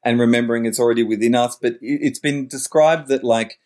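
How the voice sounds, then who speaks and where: A man's voice: medium pitch, steady tone, fairly quick, a little mellow. A middle-aged man talking in a wordy, searching way as a podcast guest, often correcting himself mid-sentence. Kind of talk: reflective interview talk.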